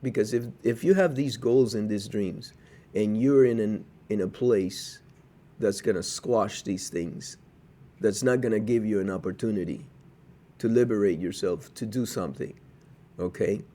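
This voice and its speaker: Low, mellow-voiced man from Cuba. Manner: measured, cautionary, with frequent hesitations. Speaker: podcast co-host. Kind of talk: casual podcast chat.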